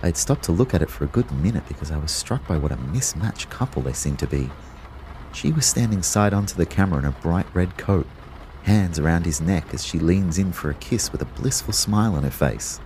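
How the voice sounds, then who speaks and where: An actor playing a reflective, concerned young man, telling his story in a measured, understated way in an audio drama. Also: deep voice, darkish tone, a little hiss or hum, rough recording. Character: reflective, concerned young boyfriend, a fitness fanatic. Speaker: narrator and lead character. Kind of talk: first-person audio drama narration and dialogue.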